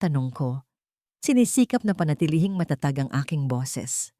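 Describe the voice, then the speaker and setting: A woman narrates a scripted first-person dramatic story in a measured, solemn, literary manner. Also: deep voice, sing-song, dark tone, no room sound, clean studio sound.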